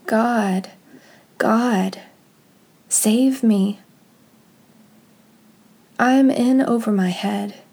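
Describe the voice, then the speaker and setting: A woman speaks extremely slowly, as if leading a contemplative prayer. Her voice is soft and mellow, with a breathy husk to it. Her delivery stays hushed and unhurried, suited to meditative scripture reading. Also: on the high side. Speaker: guided prayer leader.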